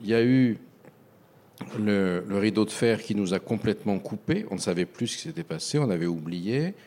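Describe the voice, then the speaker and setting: An older man from France, a writer answering interview questions in a halting, reflective way with frequent hesitations. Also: fairly low pitch, animated, dark tone. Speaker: author guest. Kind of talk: radio interview.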